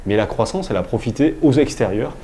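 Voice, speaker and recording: A man, a local official, explaining in a public presentation style with halting, pause-filled delivery. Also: mid-range voice, lively intonation, mellow, slight background noise.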